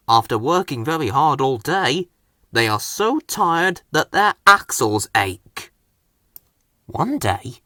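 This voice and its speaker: Children's story narrator, male, measured pacing, wide lively intonation, bright voice. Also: medium pitch, no room sound, clean studio sound. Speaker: storybook reader.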